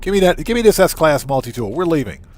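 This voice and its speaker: A man's voice: on the high side, big pitch swings, quick pace, a little mellow, faint music behind. A man hosting a casual gameplay livestream, commenting in exclamations and emphatically repeated short phrases. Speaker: livestream host.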